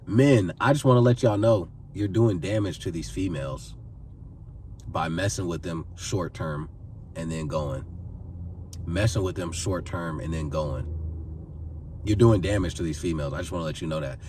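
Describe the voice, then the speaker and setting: A man's voice: low voice, normal speed, recorded from a distance, background noise. A man in his twenties giving earnest advice to camera, pleading and repeating phrases for emphasis. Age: 20s. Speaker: vlogger giving life advice.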